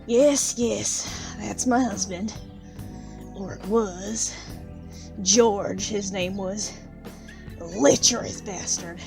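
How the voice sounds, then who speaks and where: A woman's voice: medium pitch, very slow, creaky, long pauses, music in the background. Woman doing a poor village widow for an audio drama, jaded, blunt, salty way of talking. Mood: bitter, unsentimental. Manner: plain-spoken, colloquial, bitter.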